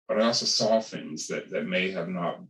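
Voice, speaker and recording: Male academic panelist, deep voice, halting restarts, roomy distant sound. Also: fairly bright tone. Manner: thoughtful, hesitant with many restarts. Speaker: panel speaker, ethnographer. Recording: echoey room, far from the mic.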